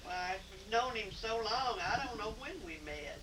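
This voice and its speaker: A woman's voice: medium pitch, lively intonation, measured pace. A woman, answering family interview questions in a stubborn, teasing way. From Louisiana. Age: 70s. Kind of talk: casual home interview. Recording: home camcorder audio, distant, noisy.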